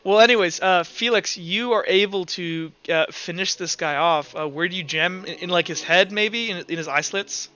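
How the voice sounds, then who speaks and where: A man's voice: sits high, moderate pace, few pauses, bright, forceful tone, smooth, lo-fi sound. A man running a tabletop roleplaying game as game master, informal and sweary in how he talks.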